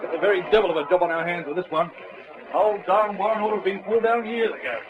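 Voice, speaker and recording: An actor playing a harried, gruffly polite fireman, in an old radio drama. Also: sits high, steady tone, fast talker, close to an everyday voice. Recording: muffled, very noisy old radio crowd scene.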